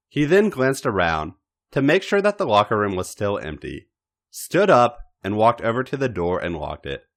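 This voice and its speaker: A man reading a story aloud in a dramatic, expressive narrating style, with theatrical emphasis and deliberate pauses. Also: on the low side. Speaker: podcast host reading fan fiction.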